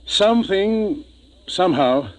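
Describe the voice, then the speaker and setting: An actor playing a serious, matter-of-fact spaceship doctor in a 1950s radio drama, speaking deliberately. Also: male voice, sits high, animated. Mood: grave.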